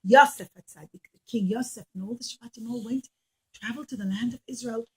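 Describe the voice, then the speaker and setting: A woman's voice: on the high side, fairly quick, slightly rough. A woman telling Bible stories to young children as a teacher, in simple, emphatic, repetitive phrases with questions to the listeners. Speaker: children's religious teacher and storyteller.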